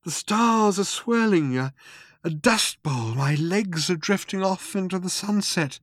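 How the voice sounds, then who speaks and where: A man voicing a bewildered, anxious man who speaks in halting exclamations, in an audiobook story. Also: high-pitched, animated, a bit bright, no echo, clean studio sound, voice pushed up, exaggerated voice. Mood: alarmed, fretful. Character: bewildered, anxious man, mildly fretful and stammering.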